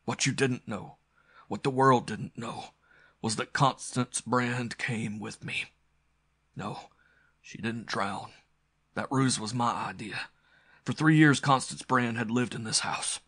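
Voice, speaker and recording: A man voicing a dying, bitterly proud male aristocrat villain in a pulp audiobook, with a strained, gasping croak that drops into breathy whispers. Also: mid-range voice, bright, forceful tone, full character voice. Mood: strained, urgent, then taunting, confessional. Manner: halting, strained, melodramatic. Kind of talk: audiobook character acting of pulp story.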